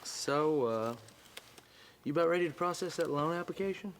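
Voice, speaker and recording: A naive small-town fellow who will not give up. His voice is high for a man and insistently pleading, with faint noise behind it. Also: expressive, normal speed. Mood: hopeful. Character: pleading, dim, persistent small-town loan applicant.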